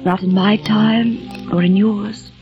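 A woman's voice: medium pitch, fairly even intonation, takes it slowly, close to an everyday voice. An actress playing a young woman from the eighteenth century, who speaks tenderly and earnestly in a 1940s radio drama. She bids her lover a poetic farewell. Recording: dull lo-fi old radio recording with music.

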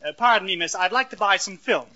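A man's voice: high voice, fast talker, sharp tone. An actor playing an eager, easily flustered young man as an earnest straight man in 1940s radio comedy. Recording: dull, noisy vintage radio recording with clicks.

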